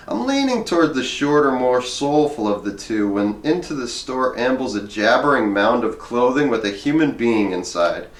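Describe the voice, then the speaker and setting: A man's voice: medium pitch, fairly bright tone, echoey room. A man reading a memoir aloud expressively, with casual asides and theatrical emphasis on key words. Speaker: reader of a memoir.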